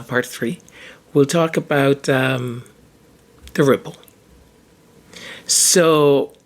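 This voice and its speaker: A podcast host with a hoarse voice, talking through ideas in a casual monologue, often restarting and repeating words mid-thought. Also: medium pitch.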